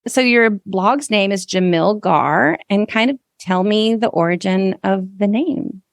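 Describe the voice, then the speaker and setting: Creaky-voiced woman hosting a podcast. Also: mid-range voice, unhurried, no room sound, studio quality. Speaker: podcast host. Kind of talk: podcast introduction and conversational interview.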